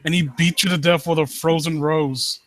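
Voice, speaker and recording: A man hosting a live stream, reading and commenting on stories in a halting, rambling way and often rephrasing himself. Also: a little high, fairly even intonation, fairly bright tone. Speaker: live stream host reading and critiquing amateur horror stories. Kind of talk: story read-aloud and casual commentary.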